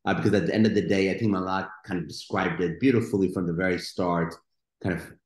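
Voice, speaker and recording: A man's voice: deep voice, fast talker. A middle-aged man from Ethiopia, a guest entrepreneur answering energetically on a video call. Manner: energetic, gesturing with his hands. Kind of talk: webinar panel answer. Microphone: video call webcam. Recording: roomy webcam call.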